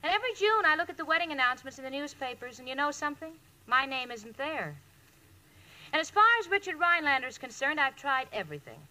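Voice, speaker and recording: A woman's voice: sits high, big pitch swings, fast talker, sharp tone, full character voice. An actress playing a wry, marriage-hungry young single woman in a 1940s radio comedy, with theatrical comic delivery on an old recording. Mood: wry, wistful. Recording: noisy vintage radio recording.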